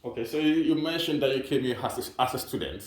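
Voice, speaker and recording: A man's voice: medium pitch, lively intonation, fast talker. A man hosting an interview, asking questions in a friendly, casual way. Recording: echoey room.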